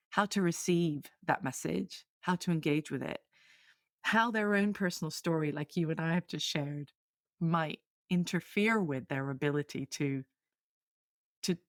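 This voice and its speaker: A woman's voice: low voice, no room sound, clean studio sound. A woman from Venezuela, a podcast guest talking candidly and reflectively, often restarting and repeating her words. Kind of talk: candid conversational interview.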